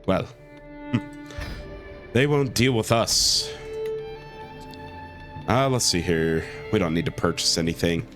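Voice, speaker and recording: A man with a cold talks through a strategy game in a lowish, stuffy voice. He keeps it easygoing and leans on plenty of "uh"s. Faint music plays underneath. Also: lively intonation, takes it slowly, long pauses. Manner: easygoing, with many "uh" and "um" fillers. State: sick with a cold. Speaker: let's play host. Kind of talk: gameplay commentary.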